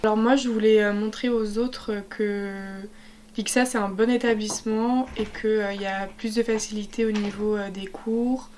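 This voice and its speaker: A woman's voice: medium pitch, level tone, normal speed, darkish tone, slight background noise. A twenty-year-old woman, a student ambassador, speaking casually with hesitations. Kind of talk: casual testimonial.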